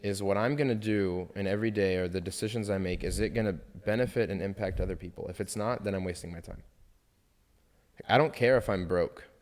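This is a young man with a lowish voice, talking earnestly as a podcast guest. His speech rambles and is full of filler words. A long reverb trails behind him. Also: brisk, a little mellow. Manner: rambling, earnest, heavy use of "like". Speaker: podcast guest, architecture graduate. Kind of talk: casual chat.

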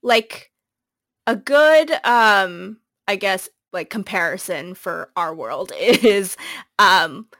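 A woman's voice: mid-range voice, expressive, slow, fairly bright tone, no echo, clean studio sound. A woman co-hosting a podcast, chatting casually about a book she recommends, with many ums and likes and frequent laughs. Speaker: podcast co-host.

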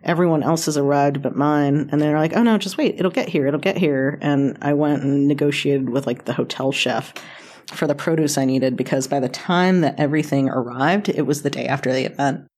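A woman's voice: deep voice, brisk, darkish tone. An American woman telling stories casually as an interview guest, chatty, informal and self-deprecating. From North Carolina, United States. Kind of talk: casual conversational storytelling.

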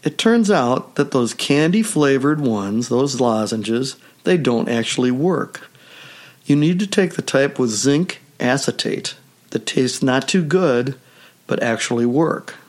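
A man with a rough, hoarse voice left over from a cold, speaking at a middle pitch. He hosts a solo podcast, talking to his listeners in a steady, measured way with deliberate pauses. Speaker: podcast host. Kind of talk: solo health podcast monologue.

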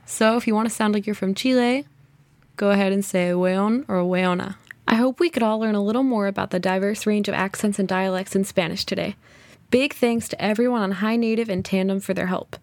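A young woman hosting a casual solo podcast, chatty and thinking out loud, often saying "kind of" and "like". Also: medium pitch, a little fast, no room sound. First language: English. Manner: chatty, thinks aloud, many "kind of" and "like" hedges. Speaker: podcast host.

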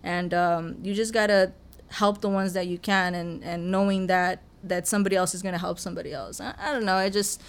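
Earnest young woman with a smooth voice. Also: lowish voice, flat delivery, brisk. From Mexico. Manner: earnest, humble, repeats words as she talks. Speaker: guest rapper. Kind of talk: casual podcast conversation. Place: podcast studio.

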